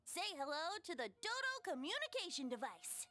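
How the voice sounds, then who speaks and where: A woman's voice: high voice, very animated, normal speed, pushed and bright, heavily acted. A woman voicing a playful, confident, theatrical adventurer in a video game, with a teasing delivery. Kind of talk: video game character dialogue.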